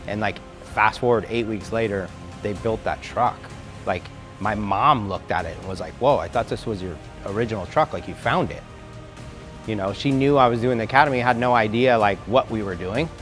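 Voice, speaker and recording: Casual low-voiced man in an interview. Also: normal speed, soft background music. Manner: loose, filler-heavy talk with many "like"s. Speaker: academy founder and shop owner.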